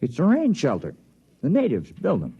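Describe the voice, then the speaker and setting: An actor playing an easygoing, folksy resident agent on a jungle planet in a 1950s radio drama. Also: male voice, a little high, wildly swooping pitch, moderate pace, creaky, a little hiss or hum, full character voice. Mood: matter-of-fact. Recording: muffled 1950s radio broadcast.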